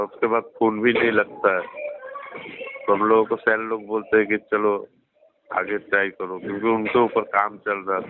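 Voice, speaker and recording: Deferential low-voiced man on a phone call. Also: a little hiss or hum. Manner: deferential, addresses the other as sir. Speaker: loan recovery agent. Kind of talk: phone conversation. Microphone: phone line. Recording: dry recorded phone call, narrow band.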